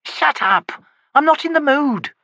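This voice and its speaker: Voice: mid-range voice, wildly swooping pitch, moderate pace, vocal fry, sharp tone, breathy edge, no echo, pitched above the performer's own voice, full character voice. A narrator voicing a short-tempered woman who snaps curtly. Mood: irritated. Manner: snapping, curt.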